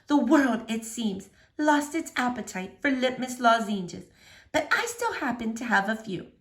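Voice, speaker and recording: Woman voicing a gentle old lady librarian, measured storyteller. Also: on the high side, big pitch swings. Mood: genteel, kindly.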